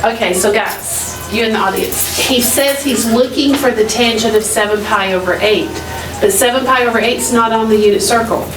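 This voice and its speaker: A woman's voice: fairly high pitch, moderate pace, far from the mic, music in the background. A middle-aged woman teaching math in a classroom, questioning students and repeating key points in a directive way. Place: classroom, heard from across the room. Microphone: distant camera microphone. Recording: echoey classroom from afar, noisy.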